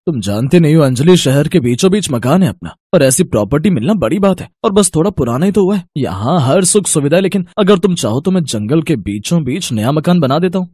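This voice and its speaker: A man's voice: fairly high pitch, expressive, brisk, hardly any pauses, darkish tone, husky, no room sound. An actor playing a weary but reassuring family man in a cartoon story, explaining with mild exasperation. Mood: defensive. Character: weary, reassuring husband and son. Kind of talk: cartoon moral story acting.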